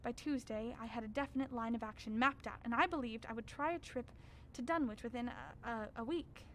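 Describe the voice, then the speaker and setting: A grave scholar, played by a woman, recounts horrors in a radio drama. The voice sits fairly high, formal and measured with an ominous weight, over faint background noise. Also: close to an everyday voice. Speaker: actor narrating. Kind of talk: radio drama narration as a written record.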